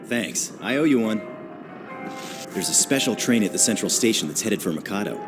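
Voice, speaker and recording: An actor playing a hurried young male fighter with connections in a video game cutscene. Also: fairly low pitch, animated. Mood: brisk. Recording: game cutscene with music behind.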